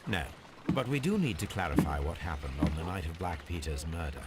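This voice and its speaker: An actor playing a brilliant, precise English gentleman detective in a video game, speaking formally and coolly. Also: sits low, sing-song, heavy background noise. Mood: calm, purposeful. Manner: formal, measured, coolly deductive delivery. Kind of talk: scripted video game dialogue.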